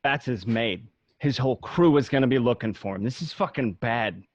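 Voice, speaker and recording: A man's voice: mid-range voice, normal speed, dry recording, natural voice. Man as an anxious gangster peacemaker, dry sound. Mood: worried. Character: anxious peacemaking male gangster, placating his friends. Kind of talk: amateur radio play acting. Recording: dull lo-fi home recording.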